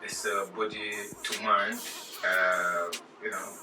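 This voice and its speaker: A man from Senegal, a fitness coach and bodybuilder, answering interview questions casually, with many fillers and trailing off quietly. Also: on the low side, level tone, slow pace. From Senegal, West Africa. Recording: roomy and distant with music behind.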